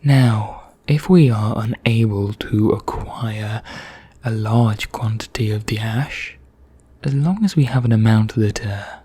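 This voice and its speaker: A man playing a vain, condescending vampire in an ASMR roleplay, speaking formally with measured pauses in a husky, breathy voice that nears a whisper. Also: fairly low pitch, warm tone, dry recording. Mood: condescending, explanatory. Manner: slow, measured, formal, pompous, with deliberate pauses. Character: vain, condescending male vampire elder, calmly sinister.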